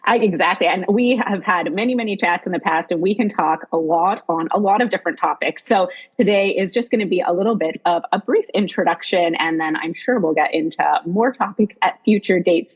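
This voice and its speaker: A woman's voice: lowish voice, breathy edge, dull audio. A woman hosting a podcast, introducing and interviewing in an enthusiastic way. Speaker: podcast host.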